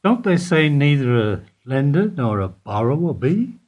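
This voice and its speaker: A man acting in a radio play as an evasive older bank employee who answers in a guarded, deliberate way. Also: medium pitch, big pitch swings, lo-fi sound. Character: evasive older male bank foyer employee under questioning.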